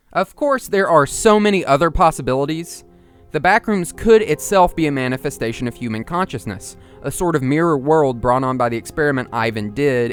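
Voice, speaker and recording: A man narrating an analytical video essay in a musing, explanatory and partly conversational manner. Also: medium pitch. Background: music under the voice.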